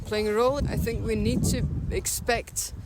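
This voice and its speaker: A woman's voice: on the high side, steady tone, normal speed. A woman with a Scottish accent speaking candidly and reflectively in an outdoor interview, often restarting her sentences. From Scotland and Denmark. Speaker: interview guest. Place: outdoors by a city river. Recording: outdoor recording with loud street noise. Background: traffic and city ambience.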